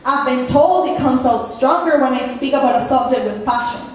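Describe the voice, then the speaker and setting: A woman with a Spanish accent performing spoken word poetry, reciting earnestly and measuredly with pauses. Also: high voice, darkish tone, far from the mic. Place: echoey poetry venue, performing seated. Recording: echoey room, voice from afar, faint hum.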